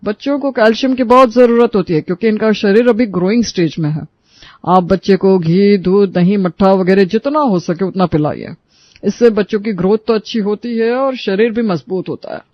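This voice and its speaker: Storybook role: earnest physician man performing, very high for a male, explanatory, slightly muffled audio. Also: no echo, close to an everyday voice. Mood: earnest advising. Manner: measured, explanatory. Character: earnest, instructive family doctor advising a parent. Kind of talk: animated moral storybook acting.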